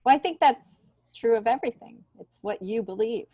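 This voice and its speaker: A woman's voice: on the high side, lively intonation, normal speed, warm tone, dry recording. A woman chatting casually as a podcast guest, in an informal, questioning way. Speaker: podcast guest, stand-up comedian. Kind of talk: casual chat.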